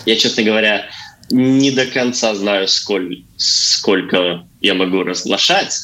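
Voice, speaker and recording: A man's voice: lowish voice, steady tone, slow, sharp tone, poor audio. A man in his thirties speaking as an interview guest, giving answers about his work. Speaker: actor and stage designer, interview guest.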